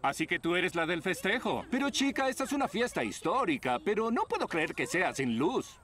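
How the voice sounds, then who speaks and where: A man's voice: high voice, sing-song, fairly quick. A man voicing a haughty, impatient celebrity photographer. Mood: skeptical. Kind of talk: Spanish-language cartoon dubbing. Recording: music behind the voice.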